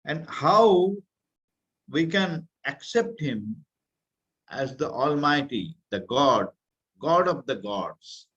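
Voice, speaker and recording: A middle-aged man from India with an Indian accent, giving an earnest religious talk to a youth class and sometimes pausing to search for words. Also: mid-range voice, sing-song, fairly bright tone, husky. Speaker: guest speaker. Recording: muffled, slightly lo-fi audio.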